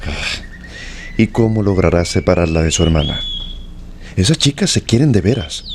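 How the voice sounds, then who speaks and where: An actor playing a genial, indulgent father in a Spanish-language radio drama, affable and expressive. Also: deep voice, normal speed, noisy background. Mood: genial, amused. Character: genial, indulgent father amused by his daughters.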